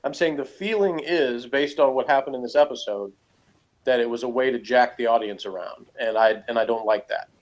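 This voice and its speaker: Middle-aged male, mid-pitched, for a casual review podcast host who thinks aloud and meanders. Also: fairly quick, low-quality recording. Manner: conversational, rambling, self-qualifying.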